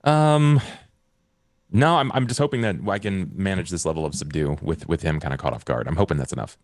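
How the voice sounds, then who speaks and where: A man playing a tabletop role-playing game, explaining his character's tactics in a measured, casual way. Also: low voice, no echo.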